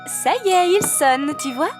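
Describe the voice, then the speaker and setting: A woman voicing a firm, caring elf mother with a measured delivery in a French children's cartoon. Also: high voice, music in the background. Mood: soothing.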